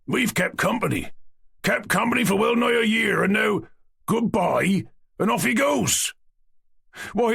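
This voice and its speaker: A man voicing an emotional, boisterous old male Cossack hunter in an audiobook, loud and sentimental with a raspy voice. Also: sits high, no echo, studio quality, pitched above the performer's own voice, exaggerated voice. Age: older. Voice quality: gravelly, raspy. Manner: loud, lurching, sentimental outbursts. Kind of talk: audiobook character voice.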